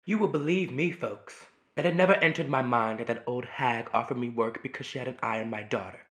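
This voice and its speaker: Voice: medium pitch, fairly quick, natural voice. A performer playing a weary, wronged mother in a stage play, speaking with sorrowful reproach. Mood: reproachful. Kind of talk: theatrical stage play acting.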